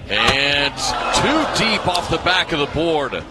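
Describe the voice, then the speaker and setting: A man giving live televised play-by-play commentary on a championship match, in an excitable manner that builds with the action. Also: fairly high pitch, takes it slowly, heavy background noise.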